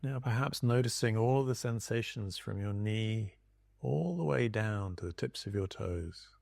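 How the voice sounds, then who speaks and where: A man leading a guided body scan meditation as a teacher, speaking in calm, gentle instructions with long pauses. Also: on the low side, vocal fry, dry recording.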